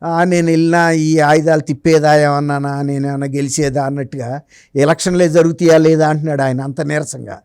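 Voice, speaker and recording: A man speaks as a political commentator in a conversational interview style. His voice sits a little high yet is very mellow, recorded close and dry. He explains his points emphatically, often through rhetorical questions. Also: level tone, a little fast, smooth, dry recording. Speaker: journalist giving political analysis as interview guest.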